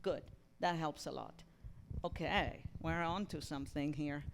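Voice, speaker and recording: A woman speaking as a theatre program director leading an informal feedback talkback, halting and rephrasing as she thinks aloud. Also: sits low, creaky, slight background noise. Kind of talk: informal post-reading talkback with audience.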